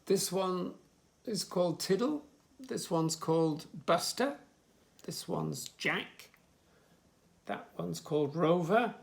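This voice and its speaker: A man's voice: sits high, animated, takes it slowly, creaky, long pauses, exaggerated voice. A man voicing a flustered but patient dog who explains himself in exclamations in a children's storybook. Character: flustered, patient dog looking after many unruly puppies.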